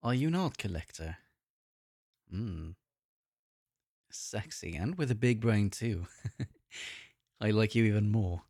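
A man acts out a suave German tourist in an erotic audio roleplay. He speaks slowly and lowishly with a German accent, flirting in a deliberate, seductive way, recorded dry and close. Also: expressive, creaky, a little mellow, no echo, heavily acted. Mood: smooth, flirtatious.

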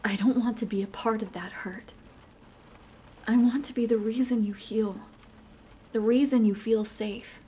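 Woman doing a girlfriend w a temper, talks right at you, kinda high voice, slow and earnest. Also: steady tone, dark tone, a little hiss or hum, close to an everyday voice. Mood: soft apologetic. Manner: emotional, earnest, addresses listener directly. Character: young adult girlfriend with a temper. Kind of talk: listener-directed audio roleplay.

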